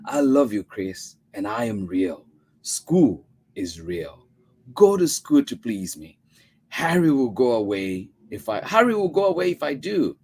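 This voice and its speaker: A man voicing an anxious adoptive mother, in a high strained voice while reading a story aloud. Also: big pitch swings, a little slow, darkish tone, husky, heavily acted. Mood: pleading. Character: anxious adoptive mother, woman, pleading then stern.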